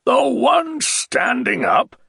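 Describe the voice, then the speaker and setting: A man's voice: high-pitched, wildly swooping pitch, creaky, slightly rough, no echo, clean studio sound, pitched above the performer's own voice, heavily acted. A man playing a blustering, brooding nobleman. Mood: scornful. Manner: loud, drawn-out, exclamatory delivery, sometimes shouting. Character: blustering, melancholy middle-aged male nobleman.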